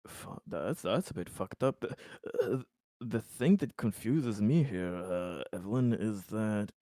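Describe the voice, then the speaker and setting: A man with a deep voice talks as a player in a tabletop roleplaying game. His in-character discussion is questioning and deliberate, with halting phrasing and a very dry sound. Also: animated, mellow, no room sound.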